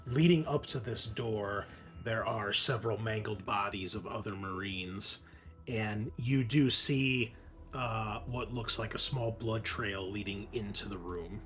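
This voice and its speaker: A man improvising descriptive, halting narration as the game master of a tabletop roleplaying session. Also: fairly low pitch, a bit bright.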